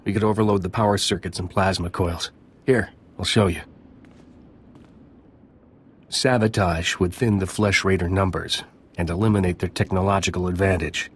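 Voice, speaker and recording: An actor playing a gruff, militant village scout chief in a video game, blunt and hard-bitten. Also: male voice, low voice, fairly even intonation, moderate pace, pauses often. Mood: gruff resolve. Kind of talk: video game dialogue acting.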